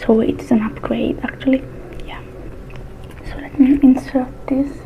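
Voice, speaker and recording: Slow, whispering woman in an ASMR roleplay. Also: high-pitched, fairly even intonation, creaky, phone-quality sound. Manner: soft, mostly whispered, unhurried murmuring with pauses. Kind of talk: whispered ASMR roleplay as a technician repairing a robot.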